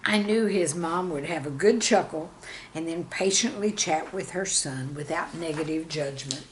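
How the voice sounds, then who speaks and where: A woman reading scripted stories and verse as a channel host, with a measured, deliberate delivery. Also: sits low, slight background noise. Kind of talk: scripted storytelling and poem reading.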